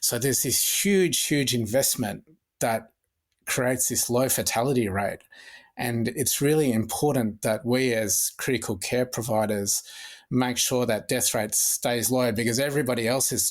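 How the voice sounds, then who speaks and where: Measured Australian man explaining medicine. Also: medium pitch. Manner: measured, methodical, with frequent restarts. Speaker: emergency physician guest. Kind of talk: podcast interview, explanatory.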